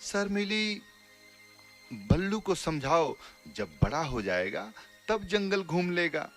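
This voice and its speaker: A man's voice: sits high, big pitch swings, slow pace, fairly bright tone, soft background music, heavily acted. A man playing a caring, cautious village father in a children's cartoon, earnest and admonishing. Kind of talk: children's cartoon story acting.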